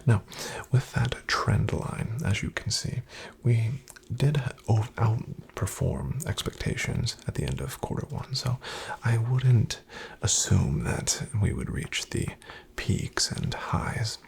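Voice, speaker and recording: A man playing a polite, reassuring financial advisor in an ASMR roleplay, in a breathy half-whisper with gravelly fry and a slow, soothing, formal delivery. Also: lowish voice, expressive, exaggerated voice. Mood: softly pleased. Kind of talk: ASMR, soft-spoken roleplay.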